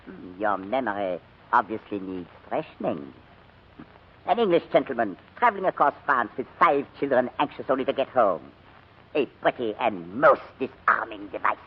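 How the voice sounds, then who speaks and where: Sneering gestapo major, husky, swoopy pitch, old muffled radio play. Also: male voice, expressive. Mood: mocking politeness. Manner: sardonic, deliberate, menacing. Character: sneering Gestapo major, menacing, coldly polite interrogator. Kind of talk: 1940s radio drama acting. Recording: muffled vintage 1940s radio recording.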